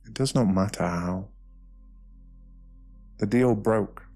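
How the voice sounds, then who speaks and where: Deep-voiced British man, hushed meditation narration. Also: soft background music. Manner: slow, hushed, soothing delivery with short phrases and pauses. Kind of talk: ASMR guided meditation, scripted narration.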